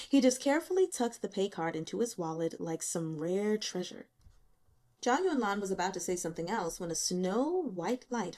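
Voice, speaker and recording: A woman reading a novel aloud as scripted, expressive narration, acting out the dialogue as she goes. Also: medium pitch. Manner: acts out the dialogue within narration. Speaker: audiobook narrator.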